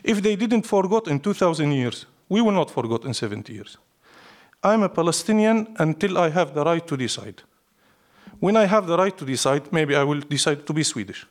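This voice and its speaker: Emphatic Syrian-accented man, higher-pitched voice. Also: big pitch swings, a little fast. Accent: Syrian Arabic. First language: Arabic. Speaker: poet, interview guest. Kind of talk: live interview, reflective storytelling.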